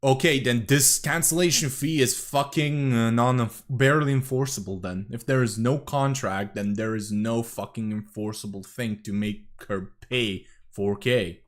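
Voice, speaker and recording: A man's voice: mid-range voice, unhurried, few pauses. A young man from Serbia, rambling through casual reaction commentary as a streamer, swearing often and correcting himself.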